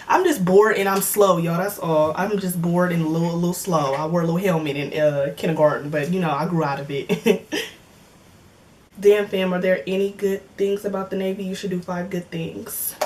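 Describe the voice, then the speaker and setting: A woman's voice: fairly low pitch, darkish tone, far from the mic. 20-year-old woman vlogger, slangy and joking, heard from a bit off-mic with a faint hiss. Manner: chatty, joking, slangy, laughs often. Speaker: vlogger answering viewer comments. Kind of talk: casual chat. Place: home filming setup with cameras and lights.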